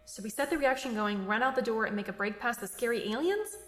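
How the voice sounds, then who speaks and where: Actress as skeptical engineer sidekick, higher voice, wry, practical, radio play, roomy echo. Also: female voice, animated, fast, a bit bright. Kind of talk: audio drama acting. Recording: echoey room.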